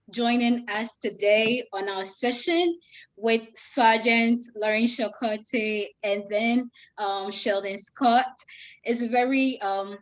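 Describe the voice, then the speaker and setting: A woman hosting an online session, introducing the guests politely with frequent hesitations. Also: on the high side. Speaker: session host.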